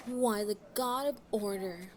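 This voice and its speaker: A woman playing a blunt, excitable halfling spellcaster in a fantasy audio drama, sassy and outspoken. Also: mid-range voice, a little slow, a little hiss or hum, lightly acted. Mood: incredulous, scornful.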